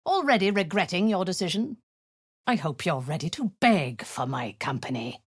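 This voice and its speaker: A woman's voice: fairly low pitch, lively intonation, normal speed, husky, dry recording. An actress voicing a cruel, zealous female warlord in a video game, giving orders in a cold, commanding tone with hissed half-whispers. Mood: menacing.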